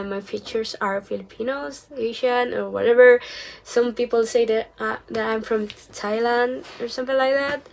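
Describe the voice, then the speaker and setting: Slow, halting young woman vlogger. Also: fairly high pitch, gravelly, a little hiss or hum, poor audio. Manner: hesitant, with many restarts and soft whispered asides. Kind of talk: casual storytelling to camera.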